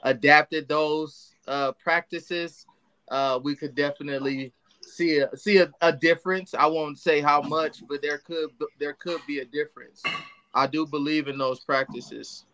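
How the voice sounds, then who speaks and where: A man's voice: fairly high pitch, steady tone, a little slow, pushed and bright. A man co-hosting a podcast, talking in a laid-back, hedging way with frequent restarts. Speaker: podcast co-host. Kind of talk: casual podcast chat.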